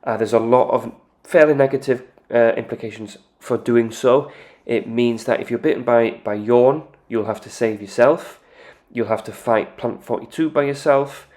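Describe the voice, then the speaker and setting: A man hosting a video game channel, giving calm game analysis commentary with occasional "uh" fillers. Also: mid-range voice, normal speed. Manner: calm explanatory delivery with "uh" fillers.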